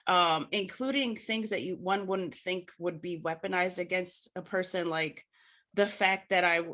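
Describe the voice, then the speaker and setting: Bright-voiced American woman, earnest and articulate. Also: on the low side, measured pace. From United States. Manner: earnest, articulate, proper diction. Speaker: podcast guest, literature scholar. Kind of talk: reflective personal storytelling in a conversational interview.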